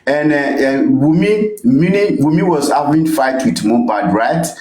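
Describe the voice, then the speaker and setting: A Nigerian man with a mid-pitched voice and a Nigerian English accent, slipping into Pidgin as he talks in an online voice chat. He is emphatic and repeats himself, and his voice echoes around a roomy space. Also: animated, a little slow, a little mellow. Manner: emphatic, repeats "bro, wait". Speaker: participant in an online audio discussion. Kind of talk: casual debate.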